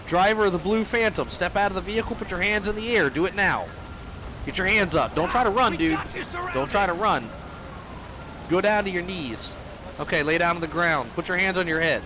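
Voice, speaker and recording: A man hosting a police game video, talking casually over the gameplay while he is sick with a cold, and shouting commands at suspects during stops. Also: high voice, a little fast, a little hiss or hum. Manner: barks loud commands at suspects.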